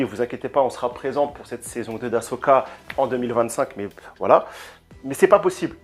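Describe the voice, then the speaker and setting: A man giving a casual, animated opinion rant as a video reviewer, hesitating and repeating words often, speaking into a handheld microphone. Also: medium pitch. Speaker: YouTube reviewer.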